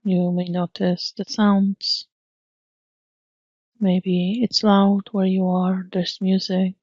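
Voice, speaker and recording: A woman leading a guided mindfulness meditation in a gently instructive, unhurried manner with long pauses. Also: medium pitch, completely flat delivery, no echo, dull audio.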